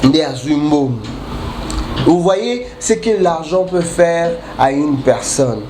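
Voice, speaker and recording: A man preaching a sermon while he has a cold, halting and restarting often, then building emphatic repetitions. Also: on the high side, animated. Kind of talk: sermon mixing French and Lingala. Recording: echoey room with faint ambient noise.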